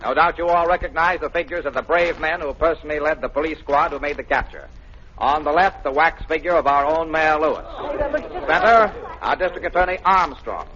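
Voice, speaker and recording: A man's voice: fairly high pitch, brisk, pushed and bright, a little hiss or hum. A man playing a formal, showy museum lecturer with declamatory guide patter in 1930s radio style. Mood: formal, presenting. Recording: muffled vintage radio, crowd murmur.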